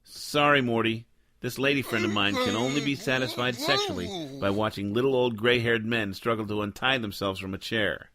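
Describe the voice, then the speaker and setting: An actor playing a sardonic, deadpan noir detective who both narrates and talks in a radio drama. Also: male voice, mid-range voice, normal speed, a crowd in the background, natural voice. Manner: sardonic, deadpan, world-weary. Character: cynical wisecracking hardboiled male noir detective, Joe Smoke.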